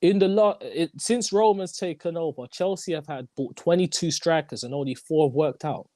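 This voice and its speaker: A young man hosts a lively football talk show, his voice pitched high and his words repeated for emphasis. The sound is close and dry with no room around it. He talks in quick slang. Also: dry recording. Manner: animated, repeats himself, slangy. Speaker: host. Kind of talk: casual football chat.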